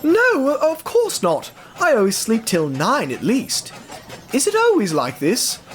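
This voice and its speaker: A man's voice: extremely high pitch, wildly swooping pitch, measured pace, slight background noise, pitched above the performer's own voice, exaggerated voice. A man voicing a prissy, whiny young male steam engine in an audio drama, fussy and melodramatic. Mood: fussy, puzzled.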